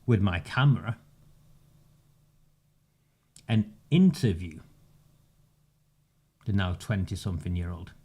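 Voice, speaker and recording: Slow, deep-voiced man. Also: animated, warm tone, slightly rough. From northern England. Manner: measured, sarcastic, emphatic pauses. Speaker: community campaigner. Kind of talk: direct-to-camera monologue.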